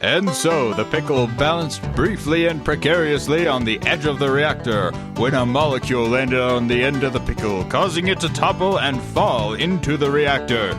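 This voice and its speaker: A man's voice: medium pitch, normal speed, few pauses, over music, natural voice. A man playing a mock-serious, grandiose narrator of a comedy radio serial. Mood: solemn, grand. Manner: melodramatic, grandiose.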